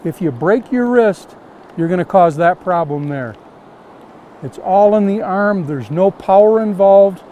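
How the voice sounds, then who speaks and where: High-voiced older man teaching casting. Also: expressive, measured pace, narrow, tinny audio, slight background noise. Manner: plain, repetitive step-by-step instruction. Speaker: fly fishing instructor. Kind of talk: outdoor casting lesson. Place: outdoors, standing in a river.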